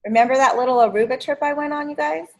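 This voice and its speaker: A woman's voice: high-pitched, fast. A woman in her fifties hosting a sales training video call, chatty and encouraging. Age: 50s. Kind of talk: casual video call meeting.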